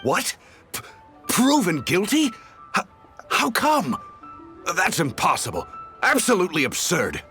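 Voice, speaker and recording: Flustered merchant, wildly swinging pitch. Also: male voice, huge pitch swings, measured pace, long pauses, pushed and bright, soft background music, heavily acted. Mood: panicked. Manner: stammering, indignant. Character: flustered, defensive male merchant insisting he is honest. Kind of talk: animated video game voice acting.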